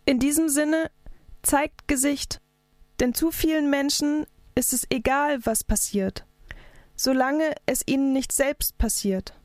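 A woman's voice: sits high, slow pace, a little mellow, no room sound. A woman activist delivering a scripted political speech in an emphatic, declarative manner. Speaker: activist speaker.

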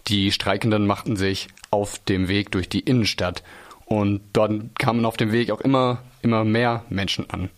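Guy doing a local radio report, kinda low voice, chatty delivery. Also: fairly even intonation, normal speed. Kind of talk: reporting on a local event, conversational narration.

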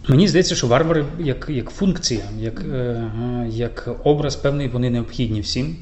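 A man's voice: medium pitch, darkish tone, slight background noise. Thoughtful man in a roomy space. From Ukraine. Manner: thoughtful, halting, with pauses and filler sounds. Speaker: poet, interview guest. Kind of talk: interview answers. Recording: roomy echo.

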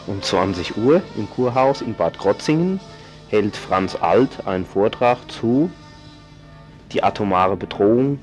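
Slow, hesitant man reading event listings. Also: low-pitched. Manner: unhurried, hesitant, with fillers and self-corrections. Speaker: radio event-listings presenter. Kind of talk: reading out a local events calendar.

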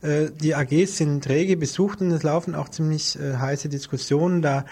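A man's voice: a little high, steady tone, normal speed, darkish tone, breathy edge. A man giving unscripted, orderly explanations as an interview guest in a radio studio.

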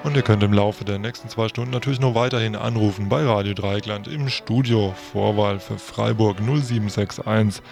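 A man's voice: lowish voice, steady tone, moderate pace, vocal fry, few pauses, music in the background. A man making programme announcements as a host in a radio studio.

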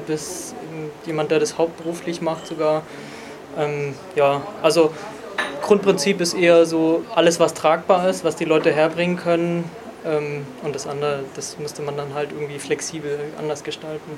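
A man's voice: a little high, flat delivery, normal speed, a crowd in the background. A man casually describing how the repair café works, with many fillers. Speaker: café organizer. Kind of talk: interview answers.

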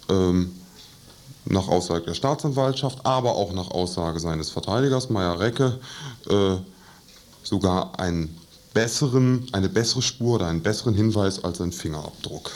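A man giving an explanatory report as a radio interview guest, careful and wordy, with pauses as he formulates. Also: on the low side, darkish tone. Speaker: trial reporter, studio guest.

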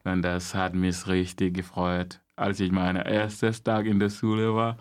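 A young man from Gambia speaks with a very low voice that barely rises or falls. His German is halting and simple, full of restarts. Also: level tone, clean tone. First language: not German. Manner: halting non-native German, many restarts and fillers. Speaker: guest. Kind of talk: casual interview.

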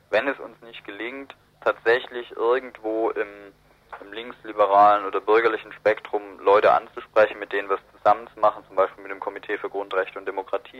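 A man giving analytical, discursive political commentary as a guest in a radio interview. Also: lowish voice. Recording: roomy, muffled radio interview.

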